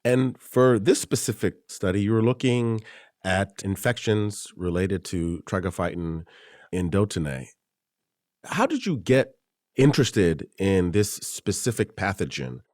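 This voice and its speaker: A man hosting a journal podcast, reading a composed introduction and then asking questions in a clear, steady way. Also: fairly low pitch, a little slow, no echo, clean studio sound. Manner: clear, composed, reads smoothly. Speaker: podcast host, journal web editor. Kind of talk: scripted introduction and interview questions.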